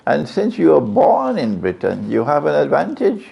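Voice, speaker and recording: Male preacher, gravelly, animated delivery, dull lo-fi lecture tape. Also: fairly high pitch, moderate pace. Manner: rhetorical questions to the audience, repeats key phrases. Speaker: Islamic preacher. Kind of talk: religious lecture to a live audience. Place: lecture venue.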